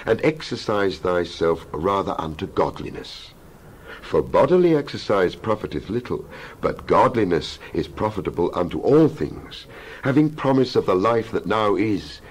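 A man with a low voice reads scripture as a dramatic performance. His delivery is solemn and declamatory, laid out in measured phrases, with the pitch rising and falling widely as he goes. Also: slightly rough, poor audio. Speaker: Bible reader.

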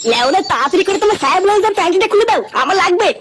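A man's voice: very high voice, animated, very fast, very noisy. A man voicing a coarse, blustering, hot-tempered village father in a comic cartoon. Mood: pushy, impatient. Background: loud music.

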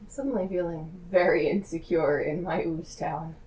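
A woman's voice: low voice, moderate pace. A woman playing a tabletop game, chatting casually and joking in a wry way. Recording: faint background noise.

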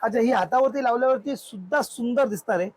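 A man's voice: extremely high pitch, fast talker, a little mellow, a little hiss or hum. A male shopkeeper, eagerly listing products in an informal sales explanation inside a shop.

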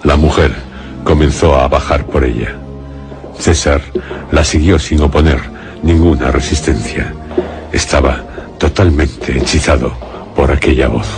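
Guy, super deep voice, solemn spooky radio drama narrator, bit of music behind. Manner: deliberate, solemn, dramatic storytelling. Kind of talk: scripted suspense radio narration. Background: faint music.

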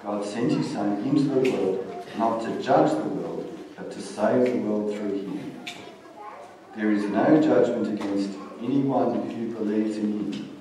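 A middle-aged man preaching a sermon and reading scripture in a measured, plain-spoken way. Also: fairly low pitch, warm tone. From Australia. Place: church stage, indoors. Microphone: stand mic at lectern, heard from far camera. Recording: distant, very echoey church hall recording.

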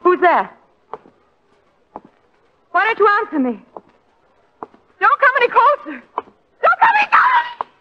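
Plucky female sidekick, 1940s radio: high, very animated, excitable, with noisy vintage sound. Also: measured pace, pauses often, heavily acted. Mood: frightened. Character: plucky, excitable young lady sleuth's companion. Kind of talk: 1940s radio drama acting. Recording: muffled, noisy 1940 radio recording.

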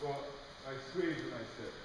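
A man's voice: medium pitch, background noise. A man riding in a clinic in an indoor arena, answering his instructor briefly and agreeably. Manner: brief replies, slow, agreeable. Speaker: rider in a clinic. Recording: distant voice in an echoey arena.

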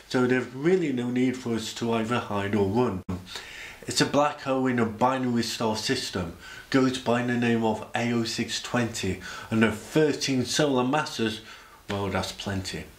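A man of about forty from Sheffield, reciting rhyming spoken-word science poetry deliberately, with dramatic pauses. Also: mid-range voice. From Sheffield, England. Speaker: presenter. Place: indoor room, speaking to camera. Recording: echoey room.

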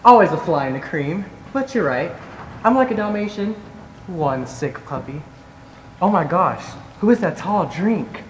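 A man's voice: sits high, animated, unhurried. A man playing a campy, witty and wistful young man in a stage play, theatrical in delivery. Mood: playful, campy. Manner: theatrical, quippy. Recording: echoey theater, faint noise.

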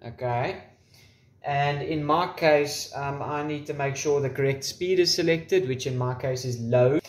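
A man in his twenties gives an informal how-to in a slightly high voice. He is offhand and wry, dropping in dry asides. He speaks into a camera's built-in microphone in a room at home. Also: unhurried. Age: 20s. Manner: informal, wry joking asides and mild swearing. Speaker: tutorial presenter. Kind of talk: casual how-to demonstration. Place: small room at home, indoors. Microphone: camera's built-in microphone at varying distance.

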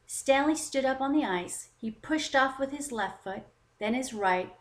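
A woman hosting a children's story time, narrating in an expressive, deliberate read-aloud style. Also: high voice. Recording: roomy, echoing voice recording.

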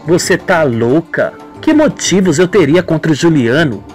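A woman's voice: low voice, lively intonation, moderate pace, darkish tone, faint music behind. A narrator voicing a scheming, two-faced young woman in a radio soap, with feigned sorrow and haughty defiance. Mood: defiant. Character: scheming, falsely sweet young woman villain.

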